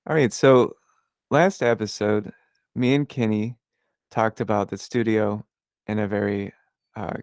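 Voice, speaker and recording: A man's voice: lowish voice, smooth, dry recording, studio quality. A man hosting a podcast, explaining history in a casual, rambling way with many fillers and restarts. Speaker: podcast host. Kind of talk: casual educational conversation.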